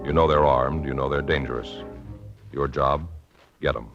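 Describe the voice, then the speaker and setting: A man's voice: very deep voice, fairly even intonation, normal speed. A man with an American accent announcing in a solemn, emphatic 1950s radio style, reading a script. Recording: dull old radio recording. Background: music.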